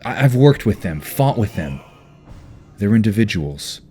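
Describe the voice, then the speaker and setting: Guy as sincere hero team leader, pretty deep voice, concerned, audio drama, bit of music under. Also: sing-song, unhurried, dark tone. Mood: earnest, frustrated. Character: earnest, caring, steadfast young male team leader.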